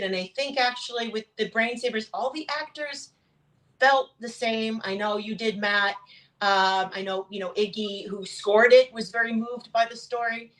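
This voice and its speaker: A woman's voice: a little high, fairly bright tone, rough recording. Reflective, earnest woman in conversation. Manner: earnest, reflective, often tags points with "right?". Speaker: guest voice actor, audiobook narrator. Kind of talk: casual interview conversation.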